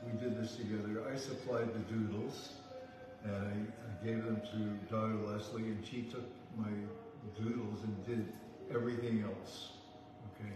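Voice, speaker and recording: A man's voice: lowish voice, level tone, normal speed, in a large hall, over music, rough recording. A man in his eighties from Canada, chatting casually and rambling, often ending sentences with "okay?". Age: 80s. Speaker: guest of honor on a family livestream. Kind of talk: casual chat.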